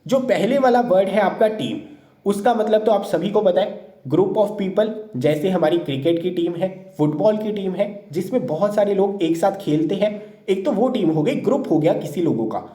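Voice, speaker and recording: A man's voice: high-pitched, animated, moderate pace, dark tone. A man teaching English vocabulary in an exam-prep lesson, asking questions and then answering them himself. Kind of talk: explanatory lesson lecture. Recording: roomy echo.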